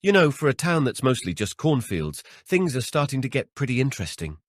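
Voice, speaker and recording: A man's voice: mid-range voice, very animated, fairly quick, dry recording, clean studio sound, voice pushed up. A man voicing a wry, sarcastic husband with a flippant manner in an audiobook. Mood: flirtatious. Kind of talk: audiobook character voice.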